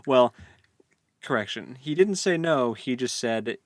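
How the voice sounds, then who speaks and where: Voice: mid-range voice, very animated, slow, dry recording, studio quality. A podcast co-host casually discussing a book, retelling it in an informal, wry way.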